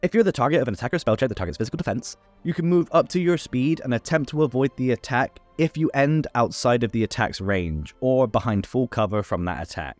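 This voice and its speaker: A man's voice: mid-range voice, animated, quick pace, few pauses, a little mellow, faint music behind. A man hosting a tabletop game tutorial, reading a scripted rules explainer.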